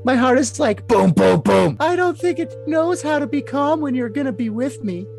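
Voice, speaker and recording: A man's voice: very high voice, animated, moderate pace, creaky, a little mellow, full character voice. A man voicing a lovesick, scheming young woman in a cutesy, coy, overly dramatic comic reading of messages. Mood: giddy, affectionate. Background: music.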